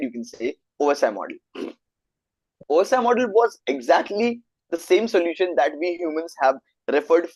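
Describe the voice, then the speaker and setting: A man's voice: fairly high pitch, darkish tone, dry recording, low-quality recording. Indian-accented man teaching a networking class. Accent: Indian English. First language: Hindi. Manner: explanatory, asks students frequent check questions. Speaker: networking instructor. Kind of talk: live online class lecture.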